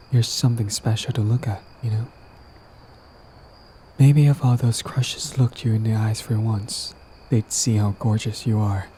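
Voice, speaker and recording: A man's voice: fairly low pitch, steady tone, a little slow, pauses often, dark tone, a little hiss or hum, lightly acted. A man playing a lovestruck, persistent villain in an intimate romantic audio roleplay, talking casually and coaxingly to the listener, smug yet earnest. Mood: hopeful, flirtatious.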